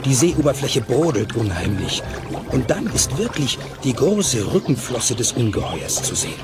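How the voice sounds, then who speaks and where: A man narrating a children's audio play in a measured, suspenseful storytelling manner with clear articulation. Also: mid-range voice, very animated, over loud music, natural voice. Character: suspense-building male storyteller.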